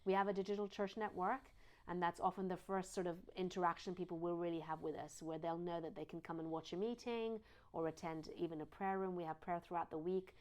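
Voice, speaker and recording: A woman's voice: on the low side, fairly even intonation, brisk, darkish tone. A woman with a British accent that has a slight American twang, originally from Iran, answering interview questions fluently as a charity head. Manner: fluent and explanatory, lists things in series. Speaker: charity CEO, guest.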